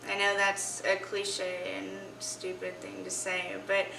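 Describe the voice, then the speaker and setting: A woman's voice: mid-range voice, completely flat delivery, slow, gravelly, pushed and bright. A teenage girl, a student actor, talking casually and informally in an interview. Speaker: high school student actor. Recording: echoey room, distant mic, faint noise.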